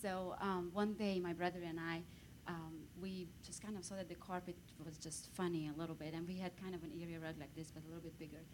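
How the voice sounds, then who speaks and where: A woman with a Hungarian accent tells her story at a quick clip. Her voice sits a little low and she leans hard on key words. A faint low rumble runs under her. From Romania. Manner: lively storytelling, bursts of emphasis. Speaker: guest speaker. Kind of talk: personal testimony on stage.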